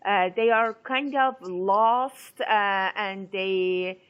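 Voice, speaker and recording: A woman radio host whose first language is Persian, asking interview questions in halting, hesitant phrasing with many pauses. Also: medium pitch, smooth, lo-fi sound.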